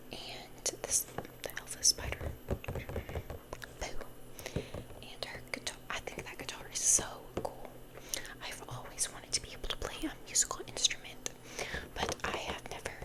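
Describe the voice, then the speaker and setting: A young woman whispering in a soft, breathy voice, rambling and repeating phrases as in an ASMR unboxing. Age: young adult. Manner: soft, repeats phrases slowly, chatty over-explaining. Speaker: ASMR content creator.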